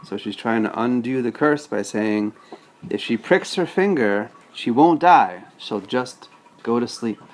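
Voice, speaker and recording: A man's voice: mid-range voice, expressive, measured pace. A man reading a storybook aloud as a father, patiently breaking off to explain things casually to a child.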